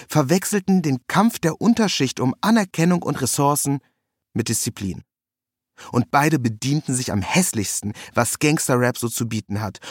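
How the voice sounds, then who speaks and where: A man's voice: medium pitch, dry recording, clean studio sound. A man reading a scripted first-person story in a wry, ironic manner. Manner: wry, ironic delivery with acted quotes. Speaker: narrator.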